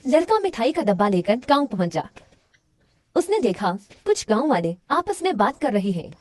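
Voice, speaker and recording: High, animated woman storyteller. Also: moderate pace, no echo. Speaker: children's story narrator. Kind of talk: scripted moral storytelling for children.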